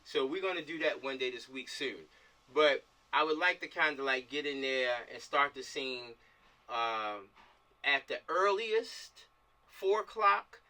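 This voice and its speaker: Casting: American man, bright voice, casual director type who repeats himself, slightly distant video-call sound. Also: medium pitch, lively intonation, a little slow. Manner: repeats himself, often seeks agreement. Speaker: film director organizing a shoot. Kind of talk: casual planning chat on a group video call.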